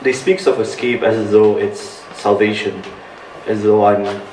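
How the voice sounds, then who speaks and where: Slow-spoken man, low voice, roomy sound. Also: steady tone, darkish tone. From Indonesia. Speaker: open-mic poet. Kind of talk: live poetry reading with halting spoken introduction. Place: indoor bar venue at a live open-mic night. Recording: roomy, distant mic, faint background noise.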